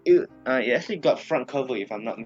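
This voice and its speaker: A man's voice: medium pitch, animated, quick pace, dull audio, soft background music. Giggly young Malaysian guy on a podcast. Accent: Malaysian English. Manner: giggly, laughs often between lines. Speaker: podcast co-host. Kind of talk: casual chat.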